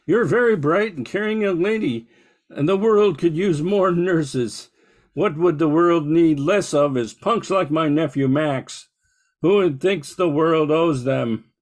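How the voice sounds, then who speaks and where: A man's voice: fairly high pitch, unhurried, darkish tone, lightly acted. An older man playing a stern, righteous uncle in an amateur old-time radio drama. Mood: kindly, moralizing.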